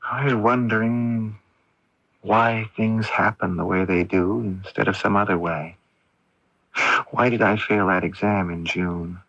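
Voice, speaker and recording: Husky, troubled young son. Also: male voice, lowish voice, fairly even intonation, unhurried, a bit bright, dry recording. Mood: dreamy, pensive. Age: young adult. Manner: hesitant, wondering. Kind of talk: radio drama acting. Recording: muffled, dry AM radio tape.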